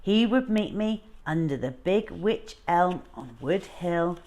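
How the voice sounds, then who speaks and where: Lively, lower-pitched woman reading a story. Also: takes it slowly. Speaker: children's story time host. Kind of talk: expressive storybook narration.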